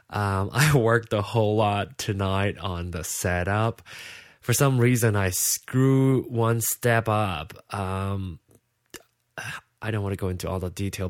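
A man's voice: lowish voice, no echo, studio quality. A sleepy man with a Malaysian accent, a native Hokkien speaker, hosting a casual unscripted podcast in a hesitant, rambling way. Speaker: podcast host.

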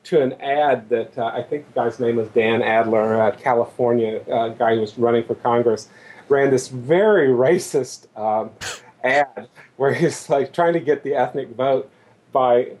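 A man chatting casually as a podcast guest, telling anecdotes in a halting way as he searches for words. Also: medium pitch, a little mellow.

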